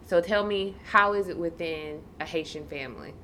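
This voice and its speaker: A woman's voice: lowish voice, normal speed, sharp tone, a little hiss or hum. A woman, hosting a casual conversational podcast interview. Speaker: podcast host, licensed counselor.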